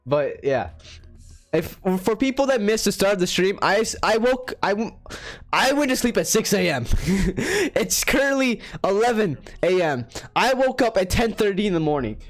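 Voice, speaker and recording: A man's voice: sits high, very animated, normal speed, soft background music. A young man streaming from his room, giving tired, sarcastic running commentary with some swearing. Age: young adult. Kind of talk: casual live-stream chat.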